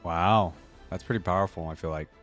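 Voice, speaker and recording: A man's voice: sits low, fairly quick, a bit bright, soft background music. A man giving casual, slangy reaction commentary as a video host, with appreciative interjections.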